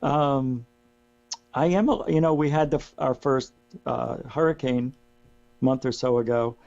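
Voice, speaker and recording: A hoarse middle-aged man talking as a guest in casual radio chat, rambling with restarts as he loses his voice. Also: mid-range voice, a little slow, a little mellow. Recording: dull lo-fi line with mains hum.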